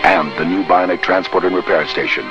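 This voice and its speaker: A man's voice: low-pitched, fairly even intonation, brisk. A man reading a scripted voice-over for a 1970s toy commercial. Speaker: commercial announcer. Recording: dull vintage TV ad with music. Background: music.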